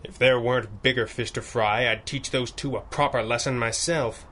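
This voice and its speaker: An actor playing a stern masked vigilante in radio adventure style, speaking in formal, commanding phrases. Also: male voice, mid-range voice, fairly even intonation, normal speed, pushed and bright, slight background noise, lightly acted. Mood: brooding, businesslike. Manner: commanding, formal, clipped interrogation.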